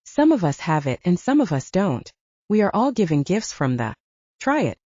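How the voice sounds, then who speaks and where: A woman voicing a guarded, knowing young female vampire in an audiobook, soft-spoken and explanatory. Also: lowish voice, sing-song, moderate pace, no echo, highs cut off. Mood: earnest, explaining. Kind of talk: audiobook character dialogue.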